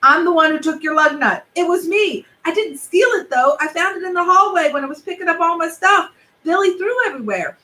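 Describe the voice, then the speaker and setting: A woman's voice: very high-pitched, expressive, brisk, bright, forceful tone, pitched above the performer's own voice, full character voice, low-quality recording. A woman voicing an earnest, literal-minded young boy who rambles in a storybook reading. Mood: confessing.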